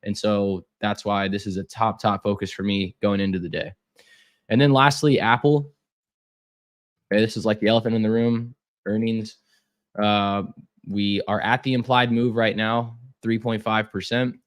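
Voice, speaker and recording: Hoarse young guy on a trading stream, kinda low voice, lots of um and uh. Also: steady tone, moderate pace. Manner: casual, repeats words, frequent fillers. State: hoarse, losing his voice. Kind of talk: live trading stream chat.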